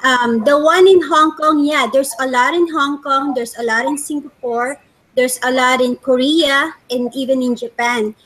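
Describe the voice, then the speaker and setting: A woman's voice: high-pitched, normal speed, a bit bright, poor audio. A woman from the Philippines with a Filipino accent, explaining her view in a casual online debate and repeating phrases for emphasis. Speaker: discussion moderator. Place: online group voice call.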